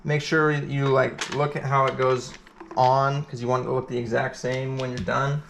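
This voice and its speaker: Man, casual repair walkthrough, gravelly, rambling with fillers, faint workshop noise. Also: mid-range voice, flat delivery, moderate pace, fairly bright tone. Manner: rambling, filler-heavy, thinking aloud while working. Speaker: competitor explaining a repair event. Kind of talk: casual hands-on tutorial.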